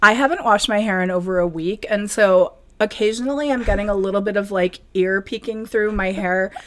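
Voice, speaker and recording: A woman's voice: mid-range voice, brisk, a bit bright, no room sound. A middle-aged woman from northern Wisconsin, co-hosting a casual chat and laughing at herself often. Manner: chatty, self-deprecating, laughs often. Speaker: co-host, knitwear designer. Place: hot apartment in a city. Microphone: video call.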